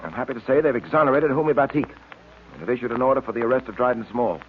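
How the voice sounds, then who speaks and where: A man's voice: mid-range voice, lively intonation, rapid-fire, close to an everyday voice. A man playing an upright, earnest police detective in old radio drama style, speaking in a firm, clipped, fatherly way. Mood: brisk, resolute. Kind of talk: 1940s radio acting. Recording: tinny noisy old radio recording.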